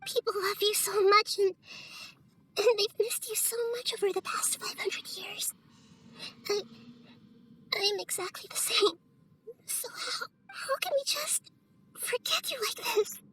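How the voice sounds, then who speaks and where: A woman's voice: extremely high pitch, measured pace, long pauses, a bit bright, slight background noise, low-quality recording. A woman playing a small childlike young goddess in an anime-style video game, speaking earnestly, inquisitively and with feeling. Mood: distressed, pleading. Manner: earnest, inquisitive, emotional delivery. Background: music.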